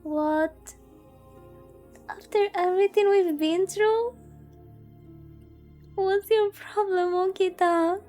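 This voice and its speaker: Casting: female game streamer, high mellow voice, playful reader who drops in exclaimed asides, soft music behind. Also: fairly even intonation, slow, long pauses. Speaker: streamer reading a visual novel aloud. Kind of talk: livestream chat mixed with story reading.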